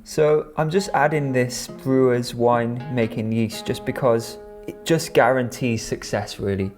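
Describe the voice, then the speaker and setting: A man's voice: mid-range voice, steady tone, unhurried, darkish tone. A Welsh man talks casually to camera, enthusiastic and a little rambling as he works. His voice sits in a middle register. Faint music plays underneath. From Wales. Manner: chatty, enthusiastic, talks while working. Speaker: vlogger and shop owner.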